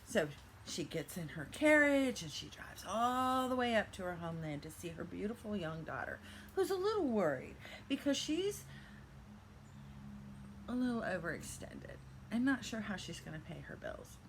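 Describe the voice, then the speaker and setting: A woman's voice: mid-range voice, very animated, normal speed, slight background noise, poor audio. An older woman telling a playful fairy-tale style story with theatrical narration and chatty asides. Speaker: vlogger telling a reselling story.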